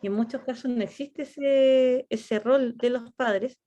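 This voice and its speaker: Woman, Chilean accent, teacher, mellow tone, fairly high pitch, reflective. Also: steady tone, a little slow, dull audio. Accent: Chilean Spanish. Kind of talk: class discussion. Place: online class.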